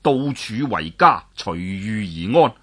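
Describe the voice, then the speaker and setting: A man voicing a weary, tough warrior who speaks low and plainly with a sigh in his voice. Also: sing-song, slow, pushed and bright, breathy edge, full character voice. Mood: gruff. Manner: low, sighing, plain-spoken. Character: weary tough warrior man, gruff but soft-hearted.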